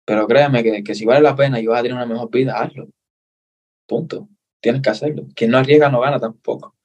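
A man with a Cuban accent talks as an interview guest. His voice sits at an ordinary middle pitch. He speaks earnestly, repeating phrases and dropping in friendly asides. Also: steady tone, fast, darkish tone, breathy edge. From Cuba. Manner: earnest, repeats himself, says brother. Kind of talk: casual interview.

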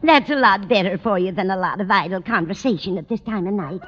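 A woman's voice: medium pitch, expressive, quick pace, lightly acted. An American actress playing an absent-minded, fussing older landlady in a 1940s radio sitcom, with a dithering, chatty manner. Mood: fussily solicitous. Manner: dithering, scatterbrained, fussy. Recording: noisy, dull old radio recording.

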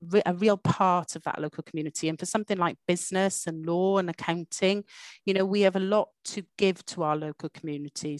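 A middle-aged woman with a Welsh accent, answering interview questions as a university dean in a measured way with some hesitant fillers. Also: fairly low pitch, no room sound. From Wales.

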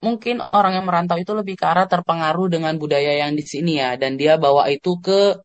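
An Indonesian man living abroad, chatting casually as a podcast guest, slangy and relaxed with hesitant fillers. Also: on the high side, a little fast, no room sound, muffled recording.

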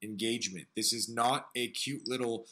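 A man with a smoky, raspy voice hosting an interview, talking earnestly and searchingly about music and his own struggles. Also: mid-range voice, fairly even intonation, normal speed, noisy background. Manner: earnest, searching, piles up phrases. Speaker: podcast host. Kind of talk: reflective interview talk.

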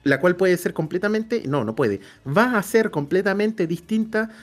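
A Chilean man hosts a casual interview in a somewhat high-pitched voice. He speaks colloquially, rephrasing himself and working through examples. Light music runs in the background. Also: lively intonation, moderate pace. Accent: Chilean Spanish. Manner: colloquial, self-correcting, elaborates with examples. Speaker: interview show host.

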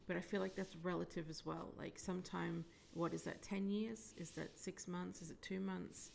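A woman with a heavy, gravelly fry in her voice, hoarse and croaky as she talks. She speaks at a middling pitch and drifts between hesitant musing and half-whispered asides while she gives a psychic reading. A faint hiss sits under her voice. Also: steady tone, normal speed, a little mellow. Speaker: psychic medium. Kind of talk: solo psychic reading monologue.

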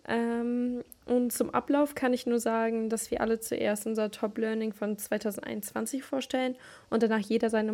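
A young woman hosts a casual podcast with her friends. She talks in a chatty, loose way, full of youth slang. Her voice sits a little high. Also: dark tone. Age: young adult. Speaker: podcast host. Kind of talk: casual chat.

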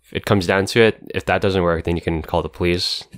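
A young man in casual chat, calm and measured, reasoning things through carefully. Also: low voice, no room sound, studio quality. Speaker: podcast co-host.

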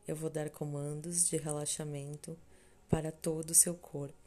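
A woman with a Brazilian accent leading a scripted guided meditation in a soothing, measured way, with long pauses between short phrases. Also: deep voice, level tone, warm tone. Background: background music.

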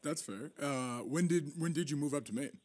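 A man's voice: a little high, normal speed, a little mellow, no room sound. An American man from Massachusetts hosting a casual interview, asking chatty, rambling, informal questions. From Massachusetts, United States. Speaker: podcast host.

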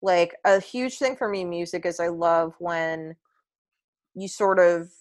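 A young woman with a low voice, chatting casually about pop music on a podcast, rambling with lots of fillers and restarts. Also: steady tone, measured pace. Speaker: podcast co-host.

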